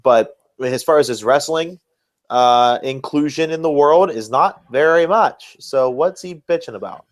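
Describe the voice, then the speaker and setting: A man's voice: on the high side, a little slow. A man hosting a podcast, chatting casually with blunt, dismissive opinions. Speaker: podcast host.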